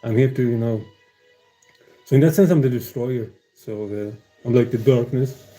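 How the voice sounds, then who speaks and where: Deep-toned, rambling male streamer. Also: normal speed, mellow, faint music behind. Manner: meandering, hesitant, many fillers and restarts, chuckles often. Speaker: livestreamer. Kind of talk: casual rambling stream chat.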